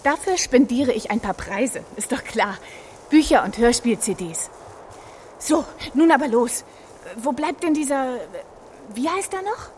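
A plucky woman reporter who hosts adventures in a children's radio play. Her voice sits high, and she talks in a lively, chatty, theatrical way. There is a faint ambient noise behind her. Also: unhurried. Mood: chatty, adventurous. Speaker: host of a children's audio series.

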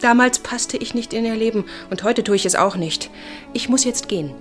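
An actress playing a proud, urgent young stenotypist in a radio play. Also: female voice, a little high, normal speed, faint music behind, close to an everyday voice. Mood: firm, urgent. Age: young adult.

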